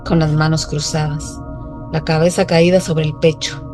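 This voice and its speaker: A woman's voice: deep voice, little pitch movement, moderate pace, darkish tone. A woman narrating a literary story for a radio drama. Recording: music underneath.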